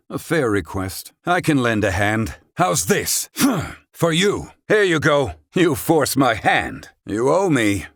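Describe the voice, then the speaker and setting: Haughty, gravelly battle mage. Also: male voice, mid-range voice, no echo, clean studio sound. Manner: pompous, condescending, archaic formal diction. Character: haughty, sardonic male battle mage, self-styled genius. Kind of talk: theatrical video game battle lines.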